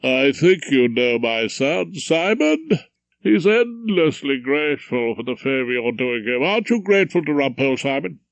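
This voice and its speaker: A man's voice: medium pitch, big pitch swings, moderate pace, creaky, dry recording, lo-fi sound. A man voicing an overbearing male judge in his sixties who speaks in a brusque, mirthless way.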